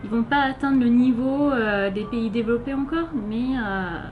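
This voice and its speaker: A woman's voice: fairly high pitch, normal speed, narrow, tinny audio. A young woman from France with a French accent, answering interview questions casually and hesitantly, with many filler sounds. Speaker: interviewee, volunteer. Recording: noisy background hiss.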